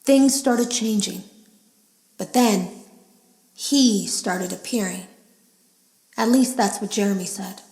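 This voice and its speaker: An actress playing an uneasy female game tester, confiding in a measured, serious way in scripted audio logs for a horror game. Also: medium pitch, a little mellow, echoey room, rough recording. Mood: uneasy, puzzled.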